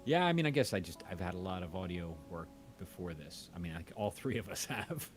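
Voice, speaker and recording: A man in casual conversation, rambling and halting in a modest, self-effacing way. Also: low voice, lively intonation, faint music behind. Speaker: audio drama creator and podcast host; university audio teacher. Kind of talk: casual chat.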